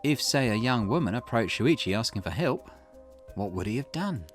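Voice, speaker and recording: Man playing a shrewd, animated amateur sleuth. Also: medium pitch, moderate pace, soft background music, close to an everyday voice. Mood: confidently explaining. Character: shrewd, self-assured amateur sleuth reasoning out a crime.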